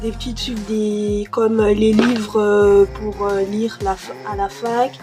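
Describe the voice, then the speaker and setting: Slow, hesitant young woman vlogging. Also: medium pitch, flat delivery, music in the background. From born in Cameroon, raised in France. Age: young adult. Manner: hesitant, many fillers and restarts. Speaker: YouTuber. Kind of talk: casual vlog chat. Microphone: desk mic.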